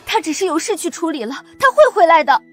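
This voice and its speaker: A woman's voice: extremely high pitch, lively intonation, quick pace, soft background music. An actress playing a young wife who pleads and screams through tears, crying out for her husband. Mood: pleading. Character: young wife, desperate and defiant.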